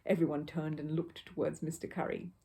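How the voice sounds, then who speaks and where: A woman reading a children's storybook aloud as an expressive narrator. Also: low voice, fairly quick. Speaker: storybook reader.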